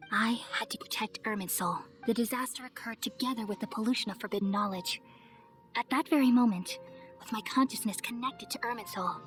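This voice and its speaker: A woman playing a serene, wise and motherly goddess in an anime-style video game, speaking gently and solemnly. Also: medium pitch, close to an everyday voice. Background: music.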